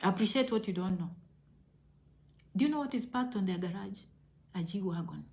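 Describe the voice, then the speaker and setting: A woman's voice: mid-range voice, lively intonation, normal speed, gravelly, darkish tone. A woman with a Kenyan accent talking casually to her online viewers in an emphatic, motivational way, repeating phrases for stress. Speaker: online video host.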